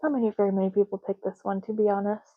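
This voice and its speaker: A soft-spoken woman doing an ASMR roleplay as a clerk, explaining gently and unhurriedly in short, broken phrases. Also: medium pitch, steady tone, racing, no echo, narrow, tinny audio. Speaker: ASMR creator playing an ID card clerk.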